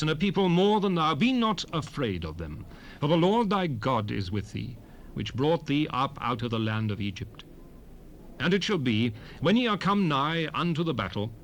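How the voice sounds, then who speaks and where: Guy doing a stern bible lawgiver addressing his people, solemn and emphatic, very animated intonation, old cassette with slight noise. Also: mid-range voice, natural voice. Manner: declamatory, emphatic, measured phrasing. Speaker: scripture narrator in a dramatized Bible recording. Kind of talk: solemn dramatic scripture reading. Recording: 1970s cassette rip, faint background noise.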